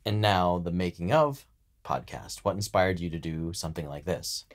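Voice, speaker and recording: A man's voice: deep voice, normal speed. A man co-hosting a podcast in a conversational style, as its producer.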